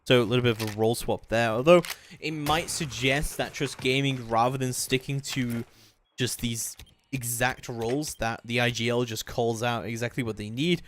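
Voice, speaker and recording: A man's voice: mid-range voice, normal speed, slight background noise. A man doing live play-by-play commentary on a competitive video game match.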